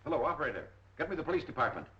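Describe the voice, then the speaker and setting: An actor playing an imperious, flustered company boss with a commanding manner, in 1930s film style on an old muffled soundtrack. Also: male voice, mid-range voice, very animated, rapid-fire, background noise, natural voice. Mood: urgent. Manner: commanding, forceful.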